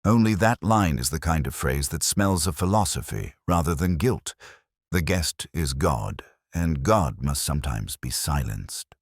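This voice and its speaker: A man playing a weary, cerebral police inspector, narrating a detective audio drama in a measured, brooding, literary way with a gravelly voice. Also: very deep voice, lively intonation, no room sound, clean studio sound, heavily acted.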